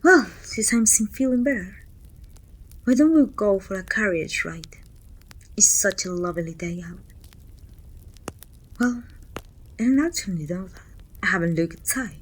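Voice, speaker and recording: A woman playing a proud, commanding evil queen in an intimate ASMR roleplay, speaking softly and often near a whisper in a measured, regal way. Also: mid-range voice, animated, breathy edge, rough recording. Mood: pleased, commanding. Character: haughty evil queen, proud sorceress ruler, confiding and commanding. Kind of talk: ASMR roleplay acting, intimate monologue to a servant.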